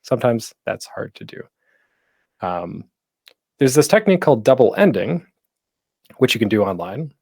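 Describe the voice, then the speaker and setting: A man with a very lively, swinging delivery and an average-pitched voice gives a casual online how-to talk. He is chatty and playful, pausing deliberately to stress things. The sound is dry and close on the microphone. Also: vocal fry, no echo. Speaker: panel host and podcasting instructor.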